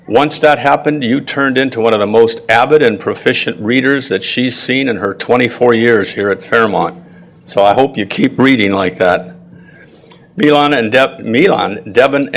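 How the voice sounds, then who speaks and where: A man giving a prepared school ceremony address with personal anecdotes, speaking in a deliberate, proud and encouraging way. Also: medium pitch, fairly bright tone. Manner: frequent throat clearing.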